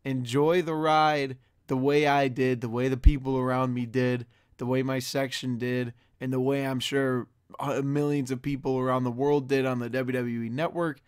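A hoarse young man talking straight to his viewers in a casual, excited vlog intro, loose and full of fillers. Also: medium pitch, moderate pace. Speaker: wrestling fan vlogger. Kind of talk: casual direct-to-camera intro.

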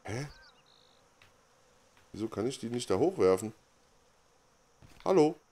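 A man's voice: medium pitch, sing-song, takes it slowly, dark tone. A man giving casual, unscripted game commentary as host, muttering offhand reactions to himself. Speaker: gaming let's play host.

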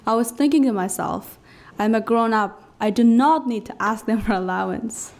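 A woman's voice: fairly high pitch, a little mellow. Young Afghan woman, English, earnest podium storyteller, phrase-by-phrase delivery. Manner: earnest, emphatic, pausing between phrases. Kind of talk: personal story told on stage. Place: cultural centre stage. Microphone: podium mic on stand.

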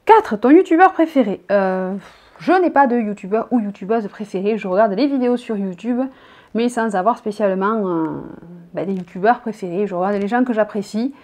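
A woman's voice: mid-range voice, brisk. Chatty southern French woman in her thirties. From southern France. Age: 30s. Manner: chatty, rambling, reads each question out loud. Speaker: vlogger. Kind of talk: casual chat answering tag questions. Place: bedroom, indoors.

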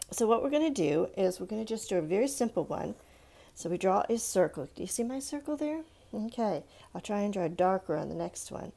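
A woman's voice: medium pitch, gravelly, dark tone. A woman teaching children to draw, talking casually and patiently through each step in an encouraging way as she works. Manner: patient, encouraging, talking along while drawing. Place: indoors at a countertop.

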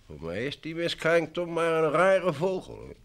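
A man's voice: fairly high pitch, wildly swooping pitch, moderate pace, vocal fry, fairly bright tone, exaggerated voice. An actor playing a polite, deferential and slightly hesitant man in an old Dutch radio play. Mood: polite, doubtful.

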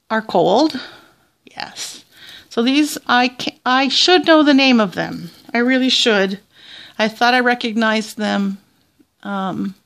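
Slow, chatty Southern Ontario woman. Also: on the high side, creaky, a bit bright. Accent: Southern Ontario Canadian. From Canada. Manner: chatty, rambling, many fillers and restarts. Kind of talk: casual show-and-tell narration. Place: small indoor tabletop setup.

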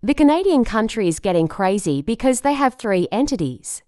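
Mellow, lively-pitched female news anchor. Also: normal speed, dry recording, clean studio sound. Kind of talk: scripted news bulletin.

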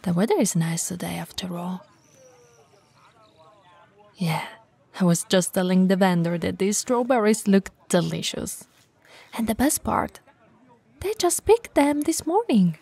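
A woman playing a tall, friendly, teasing bento shop owner in an intimate ASMR roleplay, with a breathy voice and a casual, chatty manner. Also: medium pitch, lively intonation, unhurried, pauses often, a little mellow, heavily acted. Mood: relaxed, chatty. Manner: casual, playful, hesitant and chatty.

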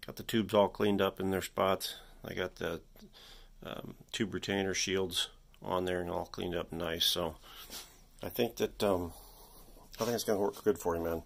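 A man with a low-pitched voice walks through a hands-on restoration job. He speaks casually and in a folksy way, pausing often as he thinks aloud. He is indoors in a workshop, picked up by a handheld device. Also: fairly even intonation, vocal fry. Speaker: hobbyist electronics restorer presenting his repair.

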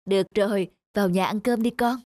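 A woman's voice: mid-range voice, a little fast, a little mellow, dry recording, clean studio sound, natural voice. A woman voicing a devoted, long-suffering single mother in a melodramatic cartoon. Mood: tender.

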